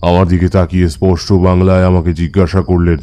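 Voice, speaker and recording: A man playing a seasoned tantric who tells his tales in a measured, reverent manner for an audio horror story. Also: sits low, little pitch movement, dark tone, faint music behind, natural voice. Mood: reflective, eerie. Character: Taranath, seasoned male tantric recounting his past.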